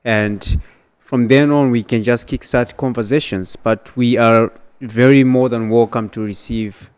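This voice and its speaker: A man's voice: mid-range voice, fairly even intonation, a little slow, smooth. A man answering as an interview guest, explaining things plainly. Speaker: project coordinator, interview guest.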